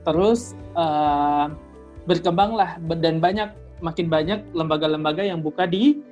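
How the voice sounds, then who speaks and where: A man who used to be a tutor, a guest in a casual interview, explaining in a relaxed way with "eh" and "em" pauses. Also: on the high side, muffled recording, faint music behind.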